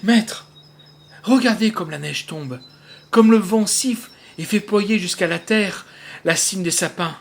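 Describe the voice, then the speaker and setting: A man's voice: sits high, unhurried, gravelly, voice pushed up. A man voicing a loyal, anxious squire who pleads theatrically with his master in a storybook reading. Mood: anxious pleading.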